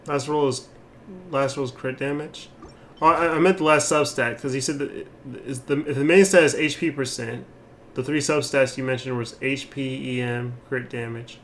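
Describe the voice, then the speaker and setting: A man's voice: medium pitch, steady tone, moderate pace, a bit bright. A man doing casual gameplay commentary as a streamer, rambling and thinking aloud. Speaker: game streamer. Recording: clear background noise.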